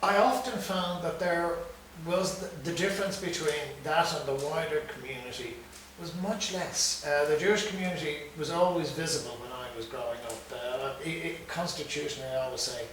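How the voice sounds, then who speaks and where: Guy hosting an interview, higher voice, lots of uhs, echoey and far from the mic. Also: moderate pace, few pauses, fairly bright tone, slight background noise. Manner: hesitant, many fillers. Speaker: interviewer, host. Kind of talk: conversational interview. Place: museum room. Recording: echoey room, from a distance.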